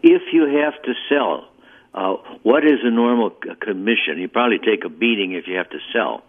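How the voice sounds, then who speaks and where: A man's voice: on the low side, lively intonation, brisk. A man from Wisconsin with a Wisconsin accent, calling a radio show with direct questions. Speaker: caller. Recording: tinny phone line.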